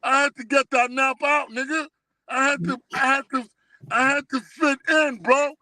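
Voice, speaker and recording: High-pitched, bright middle-aged man chatting. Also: steady tone, normal speed, no echo. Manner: emphatic, repeatedly checking the listener understands. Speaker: guest telling life stories. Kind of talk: casual reminiscing chat with street slang.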